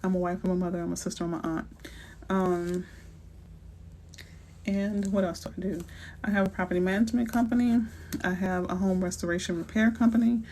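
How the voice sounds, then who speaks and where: Middle-aged woman, New Orleans accent, chatty live-stream host, relaxed slang, faint background hiss, casual phone mic. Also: lowish voice, brisk, pauses often. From New Orleans, Louisiana. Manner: chatty and playful, with slang and asides. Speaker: realtor hosting a live Q&A. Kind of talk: casual live-stream chat answering viewers.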